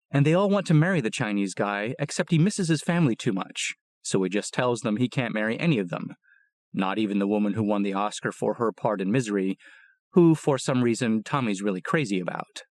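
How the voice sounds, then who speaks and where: A man reading a first-person audiobook in a steady, plain, measured way. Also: mid-range voice, no echo, clean studio sound. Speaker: audiobook narrator.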